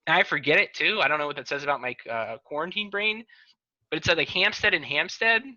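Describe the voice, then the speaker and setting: A man's voice: on the high side, lively intonation, fast talker, pushed and bright, dry recording, highs cut off. A man hosting a podcast in casual chat over a video call, rambling and joking in half-finished sentences. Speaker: podcast host.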